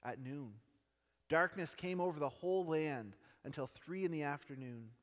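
A young man reading scripture aloud in a large church sanctuary, slow and measured, his phrases dropping softly at the ends. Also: fairly high pitch. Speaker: scripture reader. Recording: long church hall reverb.

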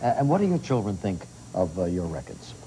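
A man's voice: deep voice, sing-song, brisk, warm tone, slight background noise, low-quality recording. A man in his sixties hosting a morning television interview, chatty and affirming, often repeating himself. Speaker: talk show host. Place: TV studio set with audience.

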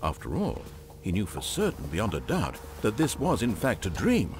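An actor playing a composed, wry, omniscient narrator in a video game, with a British accent and deliberate, articulate, theatrical delivery. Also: male voice, fairly low pitch, huge pitch swings, noisy background. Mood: measured.

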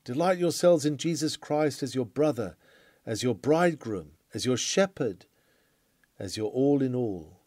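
A man's voice: medium pitch, expressive, measured pace, a little mellow, no room sound, clean studio sound. A man reading an old sermon aloud in an earnest, formal, declamatory manner. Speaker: sermon reader. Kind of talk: read-aloud classic sermon, oratorical.

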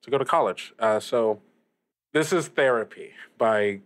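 A man plays a friendly young male radio DJ hosting a casual call-in show. He rambles in a halting, chuckly way, at an everyday middle pitch. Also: close to an everyday voice. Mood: wistful, casual. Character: friendly young small-station radio DJ named Lil Harry. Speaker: radio host.

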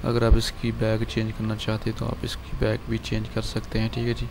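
A man, hosting a screen-recorded software tutorial and calmly walking through a design file with lots of filler words. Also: on the low side, creaky, background noise. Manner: calm, unhurried explaining with many fillers. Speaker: channel host showing a design file.

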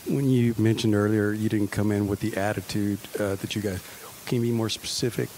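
A man's voice: lowish voice, fairly even intonation, a little fast, a little mellow, a little hiss or hum, poor audio. A man asking questions as a reporter at a press conference.

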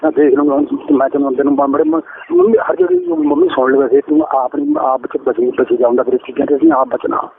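A man's voice: a little high, wildly swooping pitch, fast talker, vocal fry, hardly any pauses, slightly rough. A middle-aged man with an Indian Punjabi accent talking privately on a noisy recorded phone call, rambling and repeating himself insistently. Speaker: caller, father-in-law. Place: phone line.

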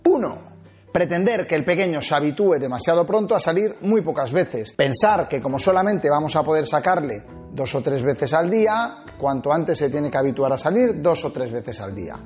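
A man in his forties from Spain hosting a dog-training video, talking to camera with animated gestures and emphatic swings in pitch. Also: moderate pace, faint music behind. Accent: Spanish from Spain. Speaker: dog trainer and channel host. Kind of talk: energetic tips video to camera. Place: small indoor studio set with shelves. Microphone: lapel.

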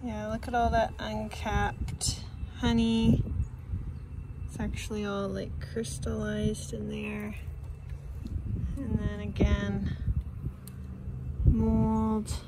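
A woman's voice: mid-range voice, completely flat delivery, extremely slow, creaky, pauses often. A woman in her thirties from Canada, talking casually and sounding let down as she inspects a beehive in her backyard, filmed close on a phone. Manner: disappointed, thinking aloud while inspecting a hive. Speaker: beekeeping vlogger. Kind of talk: casual vlog commentary. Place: outdoors in a backyard bee yard. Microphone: phone camera held close, selfie framing. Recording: loud outdoor noise on a phone mic.

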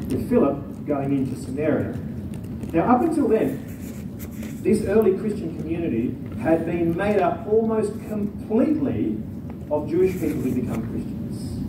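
A man's voice: a little high, background noise. Man preaching from far off in an echoey hall. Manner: earnest expository preaching, repeats words when stressing. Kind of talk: sermon.

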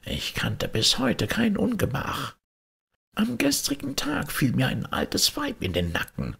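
A man voicing a grateful, crafty ghost from a fairy tale in a thin, breathy, plaintive voice. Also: fairly high pitch, wildly swooping pitch, measured pace, a bit bright, voice pushed up, exaggerated voice.

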